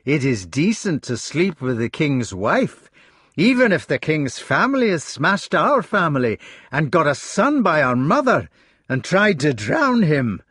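A man voicing a bitter, sardonic knight who speaks slowly. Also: fairly high pitch, sing-song, fairly bright tone, slightly rough, dry recording, clean studio sound, pitched above the performer's own voice, heavily acted. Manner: slow, sardonic.

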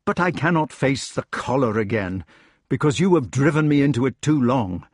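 A man's voice: mid-range voice, expressive, moderate pace, no echo, studio quality, lightly acted. A man voicing a wretched, betrayed old king in a storybook, impassioned and declamatory, raging and pleading. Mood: weary, sorrowful. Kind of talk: dramatic audiobook character reading.

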